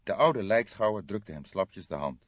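A man with a low voice narrates crime fiction dramatically. His pitch moves in a lively way, and the recording is very dry and slightly dull. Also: normal speed, no room sound. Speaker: audiobook narrator.

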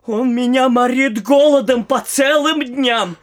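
An actor playing a cowardly, whiny young gentleman official in a comic audio play, halting and stammering with petulant bluster. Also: very high voice. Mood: whiny, indignant. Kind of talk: theatrical audio-play comedy acting.

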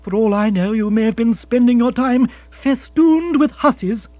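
A man's voice: very high-pitched, moderate pace, no room sound, voice pushed up. A man voicing a spirited, outspoken young Englishwoman in a comic audiobook, scolding emphatically with indignation. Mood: cold, indignant. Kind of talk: comic audiobook character acting. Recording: muffled recording with hum.

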